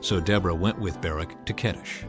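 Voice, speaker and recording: A man reading scripted scripture narration in a measured, solemn storytelling manner. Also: low voice, fairly even intonation. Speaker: narrator of a dramatized audio Bible. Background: music under the voice.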